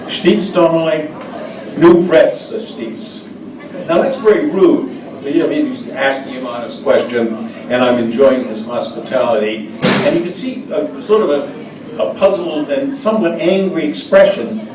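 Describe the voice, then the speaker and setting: Older american guy, ex diplomat, rambly stories w lots of uhs, far off mic in an echoey cafe. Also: a little high. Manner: anecdotal, hesitant with many fillers. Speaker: former American diplomat guest. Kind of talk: storytelling interview answers. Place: café event room. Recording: echoey room, distant mic, background noise.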